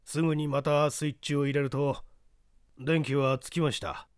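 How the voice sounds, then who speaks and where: A man's voice: on the high side, level tone, normal speed, smooth, no room sound, clean studio sound, close to an everyday voice. Man acting a meek, timid technician on the stand. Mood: meek, apologetic. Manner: meek, deferential. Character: timid, apologetic male electrical technician testifying. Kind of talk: dramatic audiobook reading.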